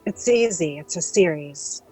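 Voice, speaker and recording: An actress playing a writer's blunt, teasing wife in a live audio drama, prodding him with dry remarks. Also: female voice, low-pitched, lively intonation, a little slow, warm tone, faint music behind, poor audio. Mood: sleepy, prodding.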